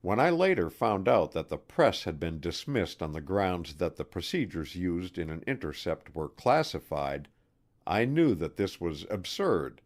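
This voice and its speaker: A man's voice: deep voice, darkish tone. A man reading a nonfiction audiobook as scripted narration. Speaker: audiobook reader.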